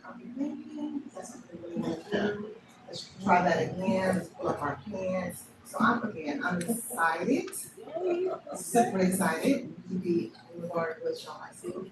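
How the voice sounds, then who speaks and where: Educator introducing herself, eager but gentle, slightly low voice, distant and noisy recording. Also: female voice, animated, a little slow. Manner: enthusiastic, soft-spoken. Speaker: assistant principal. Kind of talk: self-introduction at board meeting. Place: meeting room. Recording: echoey room, distant mic, loud noise.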